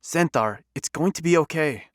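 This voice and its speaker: A woman's voice: sits low, expressive, moderate pace, dry recording, clean studio sound. A woman playing an easygoing, reassuring, easily smitten space biker recruit in an audio drama. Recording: faint music under the voice.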